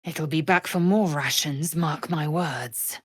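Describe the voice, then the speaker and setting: A low, husky female alien warrior from a fantasy video game. She is proud, fierce and zealous, speaking in measured, clipped phrases with imperious, archaic formality. The sound is close and dry. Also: no echo, full character voice. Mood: guarded, calculating. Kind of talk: video game character voice acting.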